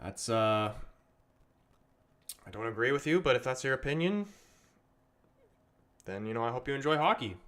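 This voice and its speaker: A man's voice: mid-range voice, lively intonation, normal speed, pauses often, fairly bright tone. Chatty English man streaming a match. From England. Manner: chatty, reads viewer comments aloud, swears casually. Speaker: livestream host. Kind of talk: casual live match commentary and chat.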